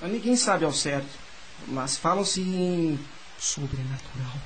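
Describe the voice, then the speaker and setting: A man acting in a radio drama as a gossipy, mildly grumbling Parisian gentleman who explains things in formal old-fashioned words. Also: on the high side, animated, takes it slowly, a little hiss or hum, poor audio. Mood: knowing, explanatory.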